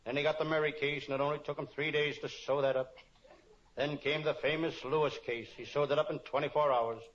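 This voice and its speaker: A man's voice: on the high side, steady tone, brisk, fairly bright tone, close to an everyday voice. A man playing a wisecracking, kind-hearted private detective in an old-time radio spoof, both narrating and in dialogue. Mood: deadpan, wisecracking. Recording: dull lo-fi old radio recording.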